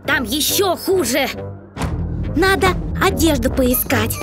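A young raccoon girl from a cartoon, hapless and plaintive. Her high voice drags slowly through the words. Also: animated, over loud music. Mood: miserable, wistful. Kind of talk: children's cartoon dialogue.

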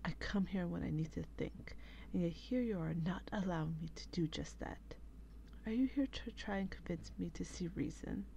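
A woman playing an exasperated, protective female vampire in a breathy, whispery ASMR role-play, with slow and dramatic reproach. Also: fairly low pitch, mellow, slight background noise. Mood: irritated, weary.

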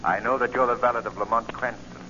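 An actor playing a menacing, mocking invisible crime-fighter, slow and deliberate, in 1940s radio style. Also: male voice, medium pitch, fairly even intonation, bright, forceful tone, slight background noise, close to an everyday voice. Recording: muffled 1940 radio recording.

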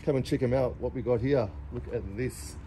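A man's voice: medium pitch, brisk, dark tone. A middle-aged man with an Australian accent, casually showing off hot rods in a car park while filming on a phone, chatty with lots of fillers. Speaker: car show presenter. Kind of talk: casual walkaround commentary. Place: outdoor car park beside a diner. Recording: outdoor phone recording with traffic noise.

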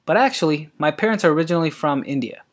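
A man from Maryland, an eye surgeon, reading his introduction and a presentation in an earnest, even way. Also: fairly high pitch, quick pace. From Maryland, USA. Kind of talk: read-aloud self-introduction and presentation.

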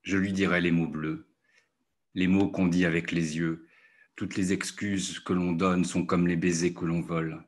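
A man reciting a poem slowly and evenly, recorded over a video call. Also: sits low, little pitch movement. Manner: slow, measured recitation. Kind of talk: poetry reading of song lyrics.

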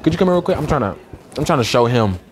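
A man's voice: medium pitch, expressive, very fast, a little hiss or hum. A man improvising a prank, joking and boasting with frequent laughs while pretending to be a job candidate.

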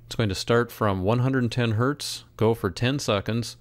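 A man narrating a software tutorial as an instructor, in a measured, step-by-step way. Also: mid-range voice, dry recording. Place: close, dry voice-over for screen recording.

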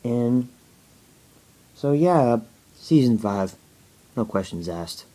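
A young man answering viewer questions in a casual home video, talking haltingly with a stutter, many fillers and restarts. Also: mid-range voice, lively intonation, warm tone, poor audio. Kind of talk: casual Q&A talk to camera.

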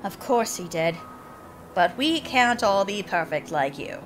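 A woman's voice: medium pitch, animated, measured pace, faint music behind, lightly acted. A woman voicing a curt, haughty, irritable businesslike pony mare in a cartoon comic dub. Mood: bitter. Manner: clipped, impatient, haughty.

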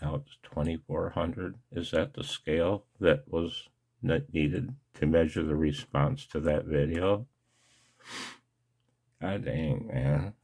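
Slow, deep, gravelly older man. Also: darkish tone. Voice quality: gravelly, husky. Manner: halting, emotional, confessional. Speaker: amateur radio video blogger. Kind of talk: personal screen-share vlog, casual monologue.

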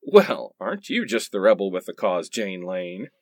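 A man's voice: low-pitched, sing-song, normal speed, heavy background noise. A man playing a sarcastic, exasperated teenage boy in a comic radio play. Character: sarcastic teenage boy in nineties sitcom parody.

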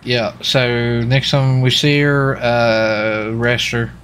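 An American man with a mid-pitched voice streams police roleplay. He talks casually with folksy slang, over faint vehicle and game ambience. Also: level tone, slow, fairly bright tone, slight background noise.

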